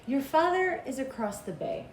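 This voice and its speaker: A woman voicing an affectionate, reassuring mother from a storybook, gentle and encouraging. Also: sits high, sing-song, normal speed, voice pushed up. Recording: echoey room with background noise.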